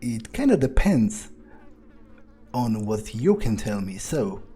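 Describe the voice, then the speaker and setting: Slow, mellow man musing aloud. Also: lowish voice, big pitch swings, husky. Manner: hesitant musing, dry understatement, casual swearing. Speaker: video game player and host. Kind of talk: in-character game commentary, thinking aloud.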